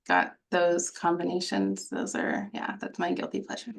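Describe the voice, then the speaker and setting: Lowish creaky-voiced woman, casual. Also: big pitch swings, normal speed. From Texas, United States. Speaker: webinar panelist. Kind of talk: casual chat. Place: online video call.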